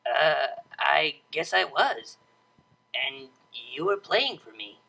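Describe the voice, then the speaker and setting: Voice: medium pitch, expressive, takes it slowly, gravelly, bright, forceful tone, breathy edge, exaggerated voice, low-quality recording. A narrator doing a cocky, teasing unicorn DJ mare. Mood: sheepish. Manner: casual, teasing, drawling.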